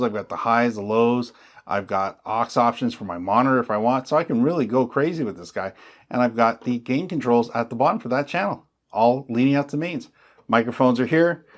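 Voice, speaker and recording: A man's voice: medium pitch, normal speed. A man with a mid-range voice presents a hands-on tech tutorial. He talks casually and chattily, like a regular host showing gear piece by piece. His wording is plain and folksy, with little catchphrases. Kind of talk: casual hands-on how-to explanation.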